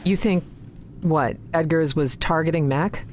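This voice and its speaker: An actress playing a guarded, defensive research scientist in a sci-fi audio drama. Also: female voice, sits low, a little slow, a little mellow, soft background music.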